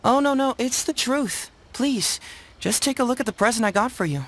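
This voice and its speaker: A man voicing a witty, smooth-talking young detective in a video game, articulate and theatrical. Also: very high voice, expressive, moderate pace, fairly bright tone, a little hiss or hum, exaggerated voice. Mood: confiding.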